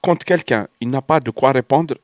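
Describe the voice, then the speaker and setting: A man's voice: mid-range voice, moderate pace, dry recording, clean studio sound. A man from Algeria with an Algerian French accent, sick with a cold and fever, talking in a rambling, hesitant way and slipping between French and Arabic in a personal monologue. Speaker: vlogger.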